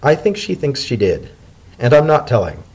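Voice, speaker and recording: A man voicing an anxious, affectionate male pony in a story reading, speaking in a hesitant, earnest and excitable way. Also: mid-range voice, moderate pace, breathy edge, pitched above the performer's own voice, exaggerated voice, low-quality recording. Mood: warm.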